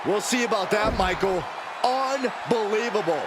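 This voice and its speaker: A man's voice: high-pitched, animated, unhurried. A man playing an enthusiastic wrestling commentator, with emphatic, upbeat sports commentary. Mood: excited. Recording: loud arena noise behind voice.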